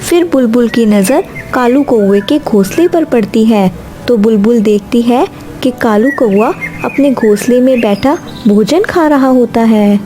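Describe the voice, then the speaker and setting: A woman's voice: fairly high pitch, measured pace, mellow, soft background music. A woman narrating a scripted children's cartoon story.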